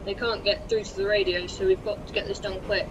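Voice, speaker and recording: Determined young crane driver, a rookie lad played by a teenage girl, mid-pitched, plain radio-play delivery, noisy background. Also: little pitch movement, brisk, close to an everyday voice. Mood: concerned, businesslike. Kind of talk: school radio play acting. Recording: clearly noisy background ambience.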